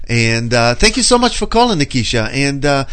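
A man's voice: mid-range voice, sing-song, brisk, fairly bright tone, clear, resonant tone. A man hosting a morning radio show, laughing and brash. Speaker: radio host.

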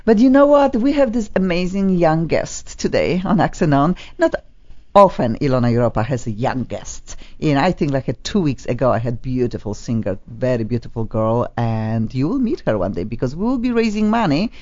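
Low, lively Polish-accented woman host. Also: moderate pace, lo-fi sound. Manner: enthusiastic, repeats words for emphasis. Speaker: talk show host. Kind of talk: casual studio talk show interview. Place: studio on a top floor.

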